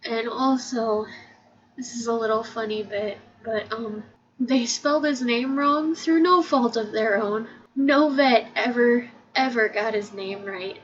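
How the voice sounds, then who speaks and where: A young woman talking softly and sincerely in a personal monologue, close to tears at times. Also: a little high, unhurried, husky. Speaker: podcast host. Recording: distant mic, dull sound, steady background hiss.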